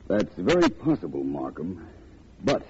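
An actor playing a cool, shrewd, commanding gentleman detective in a 1940s radio drama, speaking in a measured, articulate way. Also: sits low, sing-song. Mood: assured, reasoning. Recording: muffled, noisy old radio recording.

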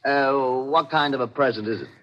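An actor playing an unsuspecting, obliging show producer, affable and businesslike, in 1940s radio drama style. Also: male voice, mid-range voice, moderate pace, lightly acted. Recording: muffled, noisy old radio recording.

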